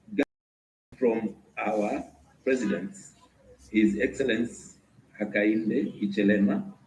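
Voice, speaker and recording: A man speaking as an official at a formal meeting, in deliberate, broken phrases. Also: on the low side, darkish tone. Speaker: government official co-chairing a meeting. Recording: echoey meeting room, faint noise.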